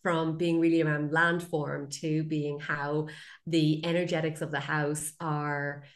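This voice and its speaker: A woman's voice: low-pitched, little pitch movement, unhurried. A woman speaking as a podcast guest, explaining her practice conversationally with everyday comparisons and examples.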